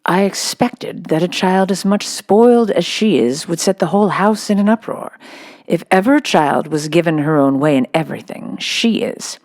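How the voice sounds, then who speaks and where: A woman voicing a stern, disapproving headmistress with a sharp, severe manner in a storybook. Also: lowish voice, lively intonation, normal speed, no room sound, studio quality, lightly acted.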